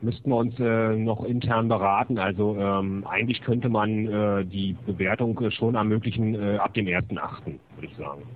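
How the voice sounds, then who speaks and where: A man from Berlin with a lowish voice talks haltingly, thinking through his answers as he goes. His voice comes through a thin, phone-like call line with faint hiss behind it. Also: steady tone. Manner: hesitant, thinking aloud. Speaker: guest, project organizer.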